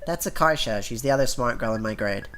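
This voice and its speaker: A man's voice: medium pitch, lively intonation, fast talker, a bit bright, lightly acted. A man voicing a studious, defensive teenage daughter, earnest and exasperated, in a visual novel game. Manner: earnest, indignant.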